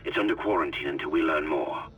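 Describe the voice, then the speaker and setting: An actor playing a grim, wary man with a gravelly voice, guarded and stern in a dramatic video game scene. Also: extremely low pitch, fast, highs cut off. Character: grim, wary man warning of deadly danger. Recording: distant, slightly noisy game audio.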